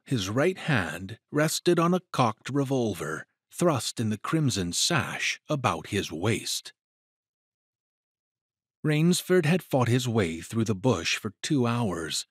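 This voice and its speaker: Man, story narrator, raspy-edged lowish voice, lively dramatic intonation, very dry recording. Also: takes it slowly, no echo.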